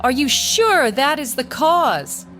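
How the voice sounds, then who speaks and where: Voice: sits high, very animated, slow, a bit bright, faint music behind, pitched above the performer's own voice, heavily acted. A performer voicing an anxious young woman with an urgent, questioning manner in an audio drama. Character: anxious young woman pressing for answers in a plague.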